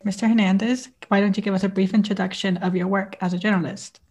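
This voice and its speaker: A young woman co-hosting a student podcast, reading a prepared introduction in a measured way. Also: mid-range voice, steady tone, a little mellow, husky. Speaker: podcast co-host.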